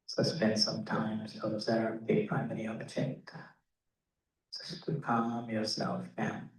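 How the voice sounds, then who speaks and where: Distant roomy recording, man, meditation teacher, level monotone pitch, measured instruction, short phrases with pauses. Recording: roomy echo, distant microphone.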